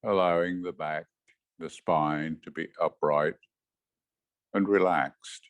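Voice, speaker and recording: A man with a low voice guides a meditation in short, measured phrases. He speaks very slowly and gently, leaving space between instructions. The sound is dry, with little room in it. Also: steady tone, dry recording, lo-fi sound. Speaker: meditation teacher.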